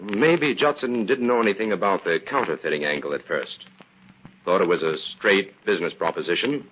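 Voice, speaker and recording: An actor playing a calm, shrewd crime investigator in an old-time radio drama, explaining things crisply and confidently. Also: male voice, low-pitched, moderate pace, natural voice. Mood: explaining, serious. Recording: muffled, hissy old radio recording.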